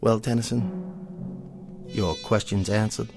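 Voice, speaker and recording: A haughty colonel in an audio drama. The voice is low and formal, pompous and measured, set over clear background music. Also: male voice, fairly even intonation. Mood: measured, commanding. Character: haughty, wealthy club colonel, stern and exacting.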